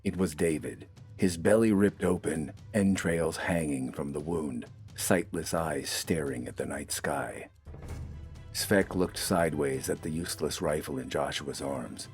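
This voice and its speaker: Very deep-voiced male story narrator. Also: unhurried, soft background music. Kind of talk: dramatic scripted fiction narration.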